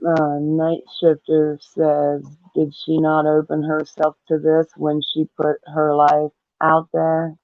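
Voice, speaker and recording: A woman giving halting, disapproving live commentary in a deliberate way. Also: low voice, monotone, no room sound, phone-quality sound.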